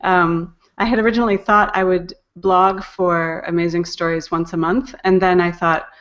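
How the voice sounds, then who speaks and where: Low-voiced woman chatting, lo-fi sound. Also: level tone, normal speed, a bit bright. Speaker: guest, poetry podcast editor and narrator. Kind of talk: casual interview conversation.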